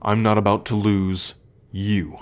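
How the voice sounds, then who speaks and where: A young man playing a troubled, protective male werewolf in an ASMR roleplay, speaking in a measured, halting, confiding way. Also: on the low side, little pitch movement, natural voice. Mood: earnest.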